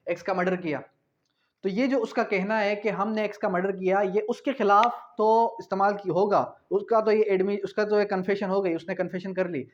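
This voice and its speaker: A man's voice: high-pitched, lo-fi sound. A man teaching law in an informal, explanatory way, repeating key points and mixing in English terms. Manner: switches between Urdu and English terms. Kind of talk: informal exam-prep lecture.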